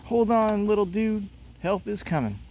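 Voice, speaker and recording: A man's voice: high voice, expressive, a little slow, a little mellow, slight background noise, pitched above the performer's own voice, low-quality recording. A man reading a play aloud, voicing a laid-back, friendly father who owns a boat. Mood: easygoing. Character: Freddie, easygoing helpful boat-owning dad.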